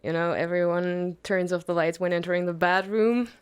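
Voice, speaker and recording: A woman with a German accent interviewing a guest, asking questions in a measured way. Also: on the low side, fairly even intonation, clean tone. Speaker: radio interviewer.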